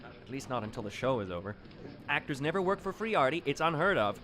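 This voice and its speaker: An actor playing a suave but easily exasperated radio show host, in a theatrical, old-time radio drama manner. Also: male voice, mid-range voice, very animated, quick pace, sharp tone, a little hiss or hum. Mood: exasperated, hushed. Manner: theatrical, polite in front, snippy aside. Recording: music behind the voice.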